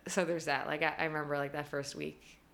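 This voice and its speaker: A woman's voice: low-pitched, level tone, a little fast, vocal fry, a bit bright. A young woman being interviewed as a guest, chatty and casual, with plenty of fillers and frequent laughs. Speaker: costume designer, guest.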